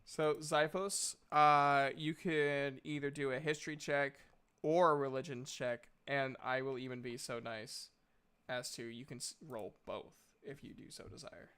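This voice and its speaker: A man's voice: mid-range voice, fairly even intonation, measured pace, pushed and bright, smooth. A man casually narrating and bantering as he runs a tabletop roleplaying game.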